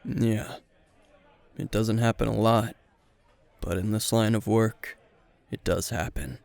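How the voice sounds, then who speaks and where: Guy doing a tired gruff hero teacher, low gravelly voice, guarded and dry, intimate asmr roleplay. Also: slow, darkish tone, no room sound, a faint crowd. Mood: downcast, brooding. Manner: terse, guarded, dry, sighing. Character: weary, gruff male veteran hero-teacher drinking at a bar.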